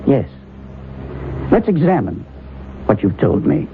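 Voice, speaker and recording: An actor playing a skeptical male doctor who questions his patient in a measured, probing way in an old radio drama. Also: medium pitch, wildly swooping pitch, vocal fry, full character voice. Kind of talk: 1970s radio drama acting. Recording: tinny lo-fi old radio broadcast with hiss.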